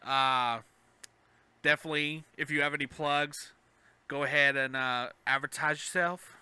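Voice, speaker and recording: A man's voice: a little high, fairly even intonation, measured pace, bright, forceful tone, clear, resonant tone, dry recording. A man co-hosting a podcast in casual fan chat. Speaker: podcast co-host.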